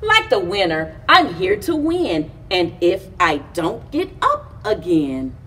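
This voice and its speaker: Animated woman reading a picture book slowly. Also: mid-range voice, slight background noise. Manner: deliberate, animated phrasing with pauses between short lines. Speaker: library story time reader. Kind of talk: expressive picture-book read-aloud for children. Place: indoors, in front of a library chalkboard wall. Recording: distant camera microphone.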